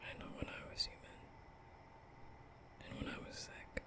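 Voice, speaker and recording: Man voicing a gentle young male vampire, breathy whisper, nervous, soothing, apologetic, hesitant phrasing, ASMR roleplay. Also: normal speed, lightly acted.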